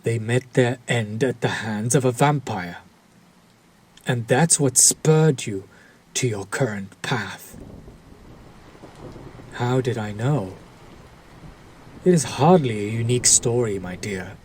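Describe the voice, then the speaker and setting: A man's voice: mid-range voice, lively intonation, slow, pauses often, a little mellow, slight background noise. A man playing a courteous, mocking vampire lord in a roleplay audio, speaking in a silky, theatrical, condescending way. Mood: taunting. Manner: silky, theatrical, condescending, lingering over words. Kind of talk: dramatic roleplay audio addressed to the listener.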